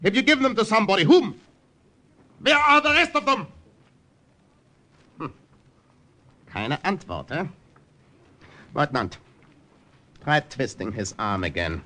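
An actor playing a menacing, imperious German army officer in an old-time radio drama, with a German accent and a clipped, commanding manner. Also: male voice, high voice, lively intonation, a little slow, pauses often, sharp tone, heavily acted. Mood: menacing, cold. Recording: noisy vintage radio drama recording.

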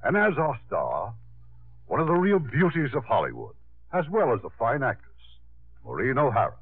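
Male vintage radio host, measured scripted narration, animated pitch, thin recording with music. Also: phone-quality sound. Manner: formal, measured.

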